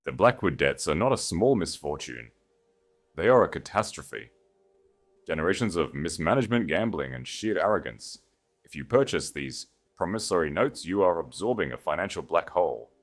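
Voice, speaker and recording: Elderly family banker for audiobook, male narrator acting: low-voiced, grave, formal, emphatic in caution. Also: expressive, faint music behind. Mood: grave warning. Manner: formal, measured, emphatic warnings. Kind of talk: dramatised audiobook story.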